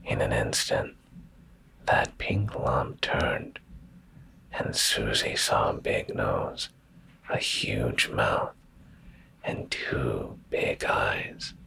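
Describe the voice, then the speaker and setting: Male ASMR narrator for bedtime stories: heavy vocal fry, breathy whisper, deliberate pauses, expressive pitch movement. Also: poor audio. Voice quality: breathy, gravelly low whisper. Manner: slow, hushed, deliberate delivery with pauses.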